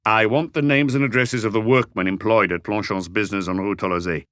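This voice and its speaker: A calm police inspector from an audiobook mystery, read by a man. His voice sits on the low side and is recorded very dry. He probes patiently with terse, measured questions. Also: no room sound, natural voice. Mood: probing, patient.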